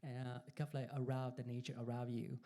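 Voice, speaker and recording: A man's voice: medium pitch, little pitch movement, brisk, dark tone. A man in the audience speaking hesitantly, with many restarts, to share his admiration. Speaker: audience member.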